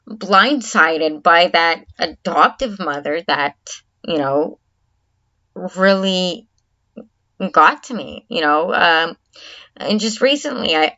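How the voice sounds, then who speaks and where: A woman's voice: on the low side, sharp tone. A woman vlogger talking candidly to camera, earnest and reflective, often stopping and restarting her sentences. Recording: dull lo-fi recording.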